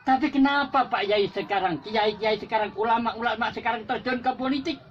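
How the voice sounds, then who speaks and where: A man's voice: extremely high pitch, fairly quick, slight background noise. An older Javanese man, a war veteran, giving an impassioned patriotic speech with repetitive exhortations that rise to emphatic peaks. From Java. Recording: dull lo-fi recording with faint music.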